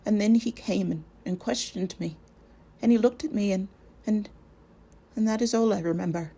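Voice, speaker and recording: A woman's voice: medium pitch, mellow, breathy edge. A woman voicing a frightened young male hobbit who stammers and halts as he speaks. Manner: stammering, halting.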